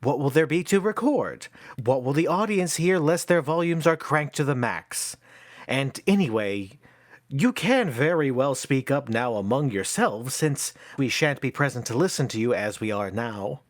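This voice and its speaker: A man's voice: medium pitch, animated, moderate pace, no echo, studio quality, close to an everyday voice. An actor playing a pompous, fussy, exasperated male theatre manager who talks theatrically in a stage play.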